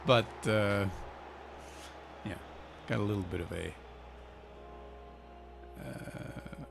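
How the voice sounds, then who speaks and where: A man's voice: fairly low pitch, very animated, very slow, gravelly, background noise. A man with an Icelandic accent, hosting a gaming channel and giving a chatty, think-aloud commentary as he plays a football manager game. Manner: chatty, thinking aloud, cheering his team on.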